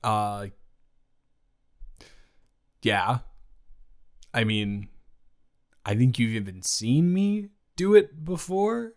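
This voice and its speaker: Guy playing a teasing supportive roommate dude, audio roleplay, slow halting improv talk, stammers a bit, gets animated for his friend. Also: mid-range voice. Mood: casual, curious. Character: male roommate and friend, teasing, supportive, indignant on her behalf.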